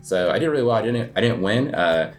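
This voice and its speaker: Low-voiced American man chatting casually. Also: animated, fast. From United States. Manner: rambling, informal, peppered with "like". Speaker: interview guest, coffee shop owner. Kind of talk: casual conversational interview answers.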